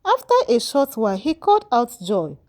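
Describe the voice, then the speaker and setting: A woman's voice: a little high, wildly swooping pitch, normal speed, darkish tone. A woman narrating a scripted folktale as a storyteller, with dramatic, emphatic delivery.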